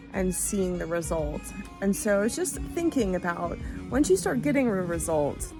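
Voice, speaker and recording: Soft-spoken middle-aged woman musing aloud. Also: fairly low pitch. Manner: gentle, musing, conversational with frequent "you know" and "like". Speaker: vlogger. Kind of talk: reflective motivational talk to camera. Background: background music.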